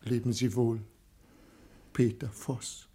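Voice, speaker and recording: A man acting an anxious, hesitant head of a struggling firm in a 1960 German radio drama, with a husky voice and questioning manner. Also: medium pitch, level tone, slow, mellow. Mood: flustered.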